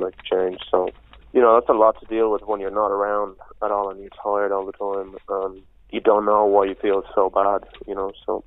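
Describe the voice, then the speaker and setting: A young man from Spain, a band musician speaking reflectively in an interview, full of "you know" fillers. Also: lowish voice. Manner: soft-spoken, many "you know" fillers. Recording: tinny phone-line sound.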